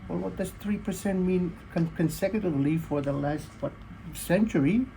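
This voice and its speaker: Pacific Islander man, soft mellow tone, higher pitch, earnest interview with faint background noise. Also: normal speed, vocal fry, poor audio.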